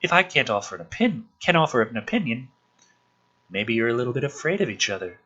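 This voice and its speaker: A man voicing a thoughtful, gently frank woman in a film script read-through, cautious and soft-spoken. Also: medium pitch, very animated, fast, breathy edge, dull audio, heavily acted. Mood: gentle, cautious.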